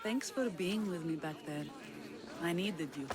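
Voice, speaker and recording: An actress playing an earnest young woman leading rebels in a game cutscene, soft and reflective. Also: on the low side, lively intonation, unhurried. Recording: game cutscene with loud music behind.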